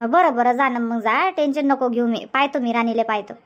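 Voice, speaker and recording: A woman's voice: fairly high pitch, brisk, close to an everyday voice. A woman voicing an inquisitive, reassuring village grandmother in a cartoon comedy, with a Varhadi Marathi accent, on a slightly dull recording. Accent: Varhadi Marathi from Vidarbha.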